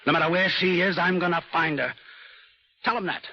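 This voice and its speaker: A man's voice: sits high, fairly quick, slightly rough. An actor playing a menacing tough man who talks in a clipped, contemptuous way, in 1930s radio acting style, on a dull old broadcast recording.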